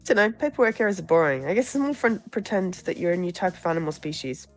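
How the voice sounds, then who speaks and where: A woman's voice: lowish voice, sing-song, fast talker, soft background music. A woman voicing a positive, matter-of-fact campaign aide in a visual novel, blithe and consoling. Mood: upbeat.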